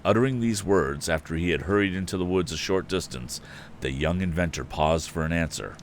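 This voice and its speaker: A man's voice: low-pitched, a little fast, a little hiss or hum. A man hosting a live story reading, narrating expressively and dramatically with casual asides. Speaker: host and reader of a live story stream.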